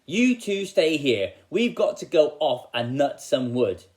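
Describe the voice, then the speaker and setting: A man voicing a cross, hard-hearted, scheming mother in a comic fairy tale, brisk and scolding. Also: on the high side, big pitch swings. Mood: bossy.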